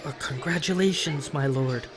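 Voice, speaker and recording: A shy young foreign woman answers quietly and haltingly, given voice by a man reading a story. She stays polite and restrained. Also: on the high side, music in the background, close to an everyday voice. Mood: hesitant. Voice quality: quiet, hesitant.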